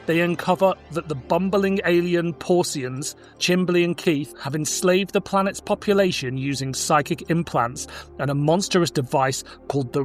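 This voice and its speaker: A man hosting a podcast, moving between casual chat and read-out summaries. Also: on the high side. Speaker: podcast host.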